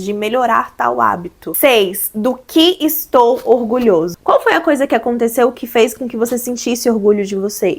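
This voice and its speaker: A young Brazilian woman with a hoarse, slightly nasal voice from a cold, casually explaining goal-setting tips to her viewers in a chatty way. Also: on the high side. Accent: Brazilian Portuguese. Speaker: vlogger. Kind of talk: casual advice vlog.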